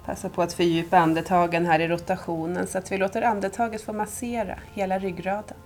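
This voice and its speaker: Female meditation guide, slightly low register, soothing and unhurried, clear music bed underneath. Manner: calm, unhurried, soothing, with long pauses. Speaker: yoga nidra instructor.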